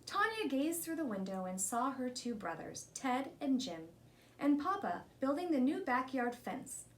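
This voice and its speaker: Animated woman reading a storybook. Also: high voice, a little slow, a little hiss or hum, low-quality recording. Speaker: storybook reader. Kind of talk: expressive children's read-aloud narration.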